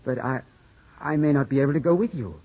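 Ardent young gentleman, husky voice. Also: mid-range voice, lively intonation, fairly quick. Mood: anxious, questioning. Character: ardent, anxious young English gentleman, weakened by illness. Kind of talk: 1950s radio drama acting and narration. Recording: muffled 1950s radio broadcast, music behind.

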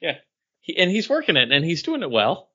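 A man's voice: a little high, wildly swooping pitch, brisk, pushed and bright, a little hiss or hum. A man chatting casually about comics as a podcast co-host, in a conversational way. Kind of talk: casual chat reviewing comics. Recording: dull lo-fi sound.

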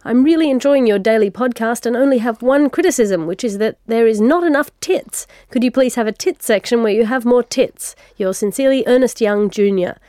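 A woman hosting a comedy podcast, reading satirical news items and trading jokes with a guest in a deadpan, dry way. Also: on the high side, a little fast, few pauses, no room sound. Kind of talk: comedic scripted news segments.

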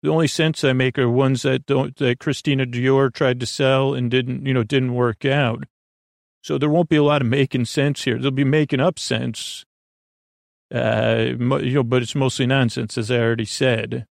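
A man with a creaky voice hosting a bedtime podcast in a meandering, halting monologue that keeps interrupting and repeating itself. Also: mid-range voice, steady tone, no echo, clean studio sound. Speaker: podcast host.

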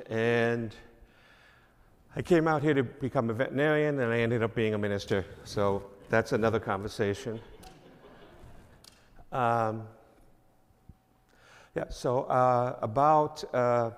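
A man's voice: medium pitch, smooth. An older man with a New York accent, a minister giving a rambling, self-deprecating introduction at a church service, full of fillers. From New York, Long Island. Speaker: guest speaker, minister. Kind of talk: informal church address. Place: church sanctuary. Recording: long reverb of a church hall.

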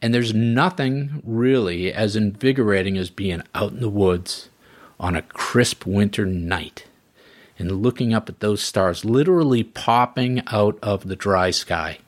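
Middle-aged podcast host from New England, fairly low voice, easygoing and folksy. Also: male voice, unhurried. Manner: relaxed, folksy, encouraging. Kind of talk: podcast monologue and interview.

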